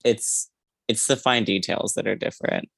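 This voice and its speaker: A man's voice: fairly low pitch, moderate pace, gravelly, a bit bright, breathy edge, no room sound. A man co-hosting a podcast, chatting casually about a book in a rambling, hesitant way full of filler words. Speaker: podcast co-host. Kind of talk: casual book discussion chat.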